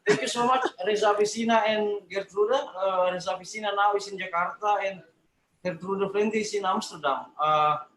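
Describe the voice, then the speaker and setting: A man with an Indonesian accent informally introducing an online talk event, halting and repeating words, on a roomy video call. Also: high voice, a bit bright. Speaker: moderator of an online talk series.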